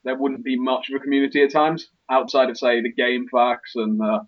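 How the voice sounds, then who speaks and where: A man chats casually as a podcast co-host. His voice is of average pitch. The audio is slightly dull and lo-fi. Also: little pitch movement, brisk.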